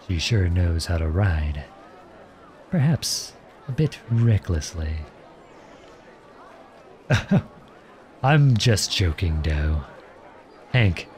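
A man playing a charming, gentle, teasing outlawed prince in an ASMR roleplay, courtly, playful and tender in his way of talking. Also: deep voice, slight background noise. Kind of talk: ASMR roleplay acting addressed to the listener.

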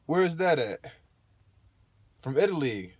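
A man in his thirties hosting a casual phone livestream from inside a parked car, relaxed and chatty, laughing often and using lots of fillers. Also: a little high, a little slow, husky, no room sound. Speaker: livestream host. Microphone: phone held at arm's length.

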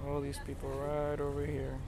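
A man chatting excitedly as he narrates his drone flight outdoors, marveling at what he sees. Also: fairly high pitch, moderate pace, background noise. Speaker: drone videographer. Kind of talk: casual live narration while flying a drone.

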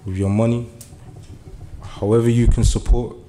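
A man's voice: medium pitch, little pitch movement, moderate pace, mellow, a little hiss or hum. A young man from Germany giving an earnest public speech at a meeting, hesitant and full of fillers at first, then building to urgent appeals. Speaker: community speaker. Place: meeting room.

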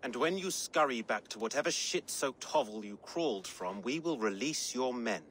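A man's voice: medium pitch, animated, moderate pace, fairly bright tone, a little hiss or hum, lightly acted. Man as a sneering Saxon royal retainer. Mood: mocking. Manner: haughty, mocking. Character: sneering, loyal Saxon king's man, contemptuous. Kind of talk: dramatic video game acting.

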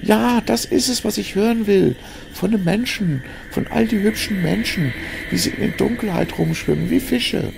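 A man voicing a bloated, spiteful, foul-mouthed woman creature with a croaking, shrill voice in an audio story. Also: moderate pace, music in the background. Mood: gloating. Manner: jeering, cursing.

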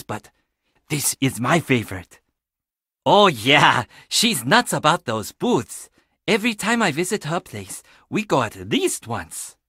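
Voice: medium pitch, a bit bright, no room sound, studio quality. An actor playing a quirky, chatty young handyman who loves puzzles in a video game, enthusiastic with sardonic, casual asides. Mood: teasing, casual. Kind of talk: video game character dialogue.

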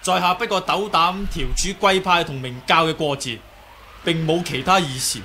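A man's voice: a little high, fairly even intonation, normal speed, pushed and bright. A man with a Hong Kong Cantonese accent playing a polite, composed young martial arts hero in a radio drama. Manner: polite, formal, earnest. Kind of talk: 1980s radio drama acting. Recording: noisy old 1980s radio recording.

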